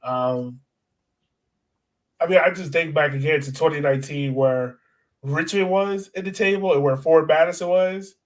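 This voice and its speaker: A man's voice: fairly high pitch, normal speed. A man co-hosting a sports podcast, chatting casually in informal, slangy language and often turning to his co-host.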